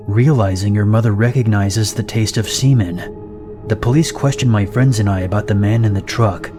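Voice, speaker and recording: Horror narrator, male, lowish voice, steady delivery, music bed under the voice. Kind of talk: scripted narration of reader-submitted stories. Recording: narration over loud background music.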